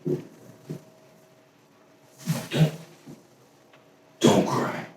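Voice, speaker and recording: A man performing a staged dramatic monologue as a weary, lovesick, despairing man, speaking in halting, broken phrases with long pauses. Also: darkish tone. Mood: pleading, broken. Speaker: stage actor. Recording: roomy echoing stage, distant mic, faint noise.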